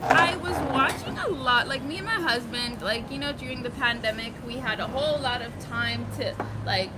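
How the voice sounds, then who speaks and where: A young woman chats casually as an interview guest, her voice sitting a little high. She fills her talk with "um" and "like". Loud traffic noise rolls behind her outdoors. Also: normal speed. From Zambia and Finland. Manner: chatty, many fillers and laughs.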